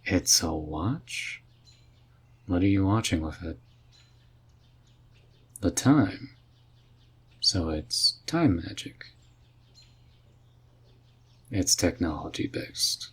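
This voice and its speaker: A man performs an easygoing, curious male mage for a fantasy audio roleplay. The voice is deep and breathy, and the delivery is slow and musing. He carries a dry, gently teasing streak throughout. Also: expressive, heavily acted. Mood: fascinated. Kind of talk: roleplay audio drama addressed to the listener.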